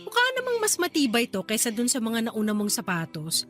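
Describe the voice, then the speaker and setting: An actress playing a caring, playful aunt raising her niece, in an affectionate, expressive Tagalog radio drama style. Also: fairly high pitch, a little fast, soft background music. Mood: teasing.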